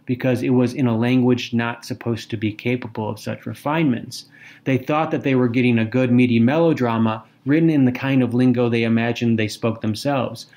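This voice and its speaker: A man co-hosting a podcast, giving prepared literary commentary in a measured, articulate way with emphatic phrasing. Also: mid-range voice, a little mellow. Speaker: podcast co-host. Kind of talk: literary discussion with prepared remarks.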